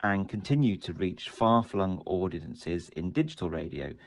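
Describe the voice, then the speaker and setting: Male executive, very low register, measured prepared corporate statement. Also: expressive, creaky. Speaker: commercial director.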